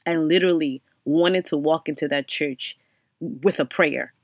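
Slightly hoarse woman podcast host. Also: deep voice, unhurried, no echo, studio quality. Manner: many ums and restarts, faith-centered, earnest. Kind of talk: reflective solo podcast monologue.

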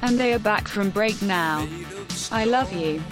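A woman voicing a female robot announcer who reads a scripted break bumper with smooth synthetic delivery. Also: mid-range voice, unhurried, close to an everyday voice. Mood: wry. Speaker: show announcer. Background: loud music bed.

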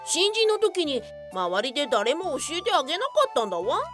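A woman's voice: sits high, sing-song, moderate pace, fairly bright tone, soft background music. A woman voicing a snide, critical dog companion in a comedic radio drama. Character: nagging dog companion of an office worker.

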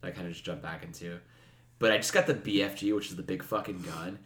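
Fast-talking, low-voiced man in an echoey room. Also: steady tone, a bit bright. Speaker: video game podcast co-host. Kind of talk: casual chat with profanity. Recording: roomy, echoing sound.

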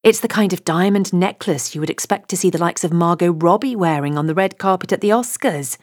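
A woman's voice: lowish voice, brisk, no echo, clean studio sound. A woman reading a comic novel aloud in first person, wry and chatty. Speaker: audiobook narrator.

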